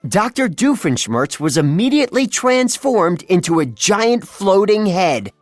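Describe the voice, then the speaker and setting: A narrator reading a children's story aloud in a scripted, dramatic and deliberate way. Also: medium pitch, sing-song, no room sound, soft background music.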